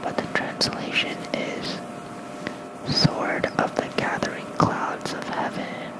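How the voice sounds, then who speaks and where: ASMR host for sleep content: fully whispered, unhurried reading, soft and casual, close on the mic. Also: low-quality recording. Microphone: close fluffy-covered microphone.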